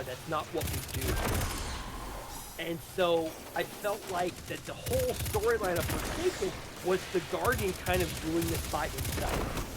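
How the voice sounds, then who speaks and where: A man talking casually about a game story, haltingly, repeating words as he thinks aloud. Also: fairly high pitch, very noisy. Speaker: host presenting his audio drama.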